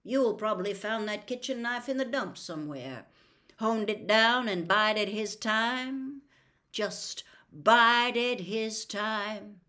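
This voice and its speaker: A woman's voice: mid-range voice, expressive, measured pace, bright, forceful tone. A woman reading an audiobook, voicing a stubborn middle-aged male sheriff who talks bluntly in a drawling country way. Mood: pleading.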